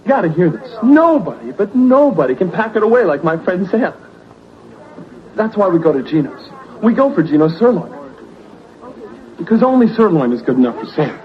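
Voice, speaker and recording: An American actor playing an enthusiastic pal bragging about a friend in a 1970s radio commercial, on a muffled vintage recording. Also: male voice, high voice, sing-song, normal speed, pauses often. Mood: eager bragging.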